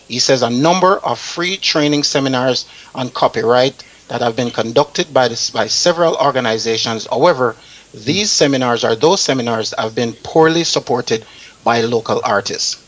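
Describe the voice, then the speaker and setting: Male talk show host, middle pitch. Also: moderate pace, a bit bright, rough recording. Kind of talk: reading an article aloud, panel chat.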